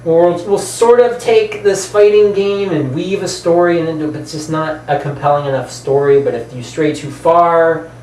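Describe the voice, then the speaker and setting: A man with a slightly high voice talks as a podcast co-host. He argues casually, circling back to rephrase his points. The sound is roomy, picked up away from the mic. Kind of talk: casual opinionated discussion. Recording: roomy, distant mic, background noise.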